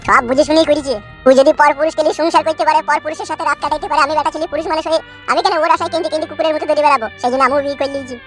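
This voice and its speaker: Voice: high voice, fairly even intonation, very fast, faint music behind, lightly acted. A performer voicing a dramatic, self-pitying young husband in a cartoon, pouring out long, florid complaints. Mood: aggrieved. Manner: long wailing complaints full of florid images. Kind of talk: cartoon dub acting.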